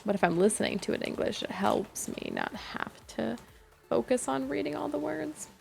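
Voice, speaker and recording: A woman's voice: fairly high pitch, animated, normal speed, vocal fry, darkish tone, soft background music. A young woman streaming a game, chatting casually and rambling with lots of fillers. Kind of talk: casual chat while gaming.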